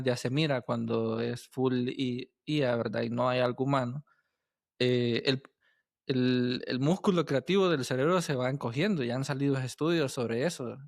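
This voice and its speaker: A man hosting a podcast in casual conversation, speaking haltingly as he searches for his words. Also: mid-range voice, creaky, no room sound, studio quality. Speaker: podcast host.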